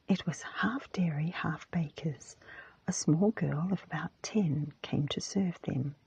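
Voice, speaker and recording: A woman softly whispering a storybook as ASMR, in a breathy, gentle narrating manner. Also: low voice, takes it slowly, no room sound, muffled recording. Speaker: ASMR reader.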